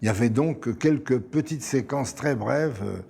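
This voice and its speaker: Gravelly, husky older man. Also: mid-range voice, normal speed, warm tone. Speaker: interviewee. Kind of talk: conversational recollection.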